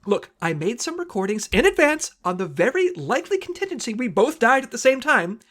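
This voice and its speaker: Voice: medium pitch, sing-song, brisk, a bit bright. An actor playing a nervous, awkward, wordy young male secret agent in an audio comedy drama. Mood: flustered. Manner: flustered, wordy, formal.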